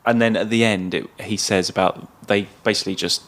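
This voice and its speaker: A man with a low-pitched voice chats as a podcast co-host. He reviews in an understated, tentative way, letting his sentences trail away. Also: fairly even intonation, fairly quick, fairly bright tone. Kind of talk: casual film review chat.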